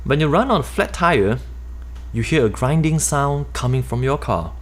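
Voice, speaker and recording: A Malaysian-accented man in middle age reads his own personal finance book aloud. His voice sits in an ordinary middle pitch, and he keeps a steady, explanatory pace, like an author walking readers through his points. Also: normal speed. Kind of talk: nonfiction audiobook narration.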